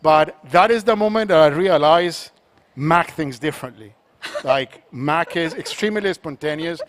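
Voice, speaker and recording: A man from Colombia, an art collector speaking on a panel, talking in a halting way with many repeated words and restarts. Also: fairly high pitch. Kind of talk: panel conversation.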